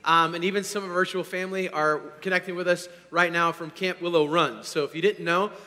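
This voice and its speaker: Hoarse male pastor, bright and fairly high, energetic, swings from loud to hushed, long hall reverb. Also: fairly quick. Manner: energetic, swinging from loud to quiet, repeats "all right?". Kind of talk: sermon. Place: church sanctuary.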